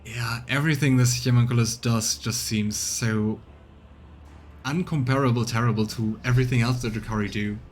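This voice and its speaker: A man's voice: medium pitch, steady tone, normal speed, slight background noise. A man streaming a let's play, reading out the game's narration and adding his own casual commentary. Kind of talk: game commentary and narrated reading of on-screen text.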